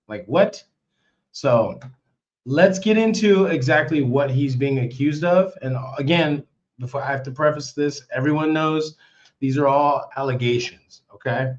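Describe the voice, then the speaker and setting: Hoarse man hosting a live stream. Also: medium pitch. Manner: excited, repetitive, chatty. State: hoarse, voice worn out. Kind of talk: casual live stream commentary. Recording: slightly dull lo-fi stream audio.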